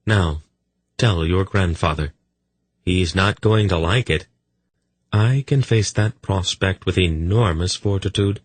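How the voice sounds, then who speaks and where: A man's voice: deep voice, takes it slowly, breathy edge, no room sound, pitched above the performer's own voice, full character voice. A man voicing a dry, sardonic disembodied voice that speaks inside a character's mind, giving curt commands.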